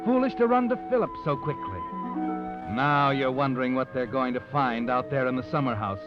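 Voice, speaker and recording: An actor playing a sinister, all-knowing narrator, mocking and dramatic, in 1940s radio noir style. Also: male voice, mid-range voice, expressive, normal speed, lightly acted. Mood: ominous, taunting. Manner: ominous, mocking, dramatic, addresses the character. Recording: muffled old radio recording. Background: dramatic music.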